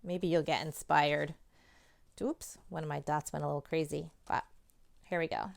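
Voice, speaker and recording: A woman's voice: deep voice, steady tone, moderate pace, vocal fry, no echo. A woman talking casually and encouragingly to children, giving chatty craft instructions as a presenter. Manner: encouraging, chatty, addressing young viewers directly. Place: indoors at home, at a table.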